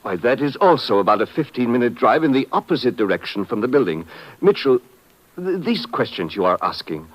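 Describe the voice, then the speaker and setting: A man's voice: mid-range voice, big pitch swings, brisk, low-quality recording. A man acting a dignified, bewildered foreign official with formal, hesitant speech in a 1950s radio drama. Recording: vintage 1950s radio recording.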